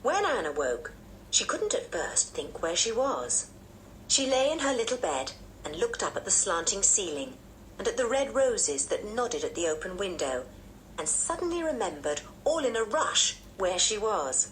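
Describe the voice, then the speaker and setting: A woman narrating a children's book in an expressive storytelling manner. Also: mid-range voice, normal speed, a little hiss or hum, low-quality recording. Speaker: audiobook narrator. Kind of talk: children's story read-aloud.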